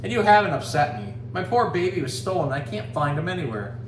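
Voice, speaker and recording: A man's voice: high voice, lively intonation, fairly quick, voice pushed up. Man reading as a worried mother dragon, roomy. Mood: sorrowful, gentle. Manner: plaintive, anxious. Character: worried, caring mother dragon missing her baby. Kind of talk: picture-book read-aloud. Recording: roomy, distant mic, background noise.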